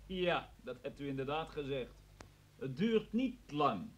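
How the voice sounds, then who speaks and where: An actor in a radio drama playing a controlled security agent who gives curt orders. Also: male voice, a little high, big pitch swings, measured pace. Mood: cool politeness. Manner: commanding, clipped orders. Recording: very noisy old radio play recording.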